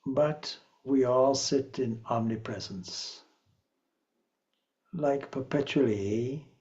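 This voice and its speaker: A man leading a guided meditation as a spiritual teacher, speaking calmly and in a measured way with long pauses. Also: fairly low pitch, muffled recording.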